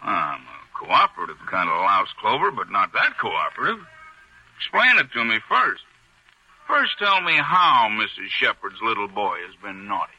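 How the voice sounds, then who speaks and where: An actor playing a sly, cowardly small-time blackmailer in a 1940s radio noir, with slangy smart-aleck talk. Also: male voice, on the low side, big pitch swings, moderate pace, bright, forceful tone, highs cut off, exaggerated voice. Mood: insolent, mocking. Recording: old, rough-sounding radio drama recording.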